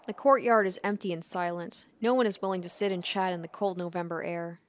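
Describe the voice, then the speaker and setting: A woman narrating a visual novel aloud as a let's player, expressive, with casual commentary. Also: fairly low pitch, a little fast, dry recording. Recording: background music under the voice. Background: game music.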